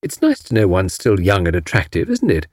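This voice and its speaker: A man voicing a bright, cheeky young British wife in a breezy, teasing manner for an audiobook. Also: low-pitched, wildly swooping pitch, brisk, no room sound, clean studio sound, exaggerated voice. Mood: cheerful.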